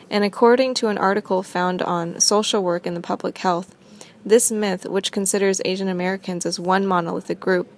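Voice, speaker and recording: Steady woman reading documentary narration. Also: medium pitch. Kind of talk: scripted narration.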